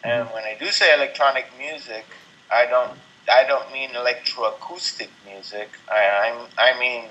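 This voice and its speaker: A middle-aged man from Venezuela speaking as an interview guest, thoughtful and halting, with many ums and restarts. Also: mid-range voice, pushed and bright, lo-fi sound, a little hiss or hum. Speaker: interview guest, artist and composer. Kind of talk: casual interview talk.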